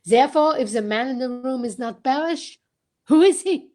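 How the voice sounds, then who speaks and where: A woman playing a courteous, self-assured male detective, theatrical and deliberate, in a radio play. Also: high-pitched, a little mellow, lightly acted. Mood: explaining. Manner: theatrical, deliberate, tag-questioning. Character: courteous, self-assured male French-speaking detective explaining his case. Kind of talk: amateur old-time radio play reading.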